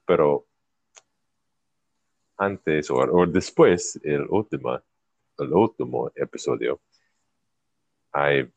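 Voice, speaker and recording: Male host, native English speaker, learner's Spanish: very deep, gravelly, slow, hesitant, lots of fillers. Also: darkish tone, no room sound, lo-fi sound. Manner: halting, searching for words, many fillers. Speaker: podcast host. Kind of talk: casual chat, learner's Spanish.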